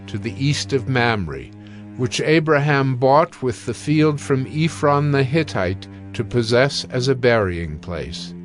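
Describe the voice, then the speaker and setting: A man delivers solemn scripted Bible narration in a medium register. His reading is measured and formal. Gentle music runs quietly behind the voice. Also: mid-range voice.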